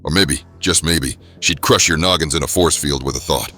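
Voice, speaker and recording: A very deep, forceful comic book bruiser made of rock, big-hearted and cocky. He talks in New tough-guy slang with a gruff edge, over music. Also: moderate pace. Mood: taunting. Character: gruff, wisecracking rock-skinned superhero brawler, big and good-hearted.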